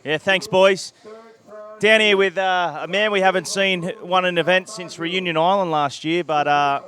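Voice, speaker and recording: A man's voice: sits high, steady tone, moderate pace, fairly bright tone. Male trackside interviewer, handheld mic, rambling friendly questions. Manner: chatty, rambling questions, hesitant fillers. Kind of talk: post-heat interview. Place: outdoor beach event interview backdrop.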